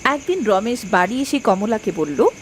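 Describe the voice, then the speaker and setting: A woman's voice: fairly high pitch, expressive, normal speed, slight background noise. A woman narrating a scripted cartoon village tale as its storyteller.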